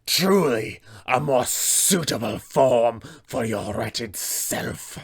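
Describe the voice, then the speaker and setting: A menacing serpent-folk sorcerer, grandiose and near death. The words come very slowly and drawn out, with the weight of a tabletop fantasy villain who expects to be obeyed. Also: male voice, mid-range voice, lively intonation, breathy edge, full character voice. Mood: menacing, scornful.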